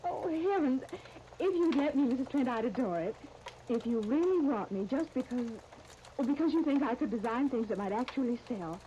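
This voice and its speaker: High, gushing young radio soap ingénue. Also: female voice, a little fast. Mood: thrilled. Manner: effusive, breezy, flattering. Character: gushing, ingratiating, eager young woman aspiring dress designer. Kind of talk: 1930s radio soap opera acting. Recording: dull, crackly 1939 radio disc.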